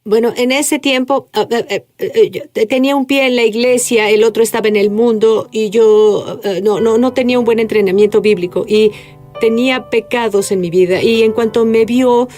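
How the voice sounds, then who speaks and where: A woman guest tells her life story earnestly in an interview, with halting restarts. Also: on the high side, fairly even intonation, darkish tone, soft background music. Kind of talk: dubbed interview testimony.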